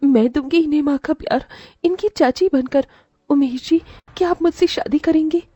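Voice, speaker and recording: High-pitched, protective motherly aunt, acted by a woman. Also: moderate pace, mellow, no echo, dull audio, lightly acted. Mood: tender. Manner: earnest, emotional, emphatic. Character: loving, protective young aunt, firm and motherly. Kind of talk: dramatised moral story voice acting.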